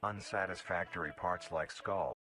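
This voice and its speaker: A man reading a scripted nature documentary narration in a measured, formal way. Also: deep voice, steady tone. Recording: music under the voice. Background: background music.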